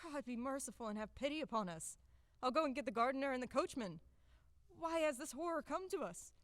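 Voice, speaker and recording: A woman's voice: sits high, lively intonation, a little fast, fairly bright tone, dry recording, in a large hall. A woman playing a timid, frail old manservant, fretful and imploring, in an audio play of a classic farce. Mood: pleading, fearful.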